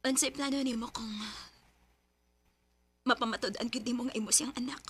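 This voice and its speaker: A devoted, hurting wife in a radio drama, her voice high and slightly raspy with a bright edge. She speaks intimately and emotionally, with a Cebuano accent. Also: fairly even intonation, a little fast. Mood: hurt, tearful. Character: loving, wounded wife longing for a child. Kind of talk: Filipino Bisaya radio drama acting.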